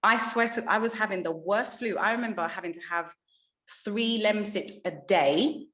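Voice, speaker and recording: A woman's voice: medium pitch, normal speed, roomy sound. A young woman from England, a radio presenter, chatting candidly and telling stories with plenty of swearing. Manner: candid, animated storytelling with swearing and laughter. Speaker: radio presenter, podcast guest. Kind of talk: casual chat.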